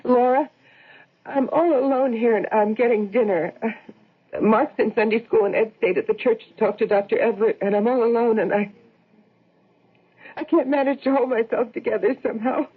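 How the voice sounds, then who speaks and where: Husky, fretful housewife, tinny radio. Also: female voice, fairly high pitch. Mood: anxious, pleading. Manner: anxious, halting, pleading. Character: fretful housewife and mother, barely holding herself together. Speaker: radio drama actor. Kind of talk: old-time radio drama acting. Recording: tinny narrow-band old radio recording.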